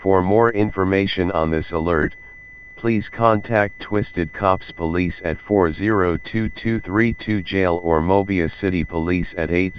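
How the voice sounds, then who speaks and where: A man plays an emergency alert announcer with a deep voice. The delivery is official and scripted. The sound is dull, with a faint hum underneath. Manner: formal, official.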